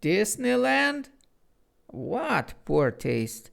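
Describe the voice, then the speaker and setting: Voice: mid-range voice, sing-song, takes it slowly, gravelly, full character voice. A storyteller voicing a pompous, theatrical male clock spirit who speaks with an affected lisp.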